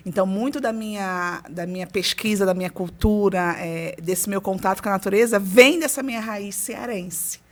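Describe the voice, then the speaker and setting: A woman's voice: mid-range voice, normal speed, few pauses. A woman from Ceará with a carioca accent, a guest author and teacher, talking about her roots in an earnest, reflective way. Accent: Rio de Janeiro (carioca). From Ceará, Brazil. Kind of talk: casual interview talk.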